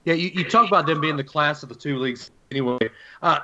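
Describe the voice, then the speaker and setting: A man's voice: fairly high pitch, fast, muffled recording, background noise. A man hosting a sports podcast, casually putting questions to his guest. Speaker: sports podcast host, interviewer.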